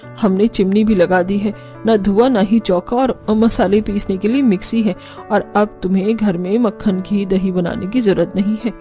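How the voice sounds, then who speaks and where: A woman's voice: mid-range voice, steady tone, normal speed, dark tone, soft background music, natural voice. A woman playing a weary, ageing village mother and mother-in-law in a cartoon family drama. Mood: kindly.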